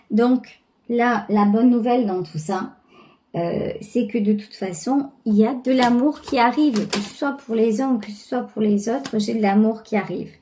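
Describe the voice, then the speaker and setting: Female tarot reader, high voice, unhurried delivery, conversational and reflective, addresses the viewer directly. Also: warm tone. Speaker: tarot reader, channel host. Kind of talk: intuitive tarot reading, spoken directly to the viewer.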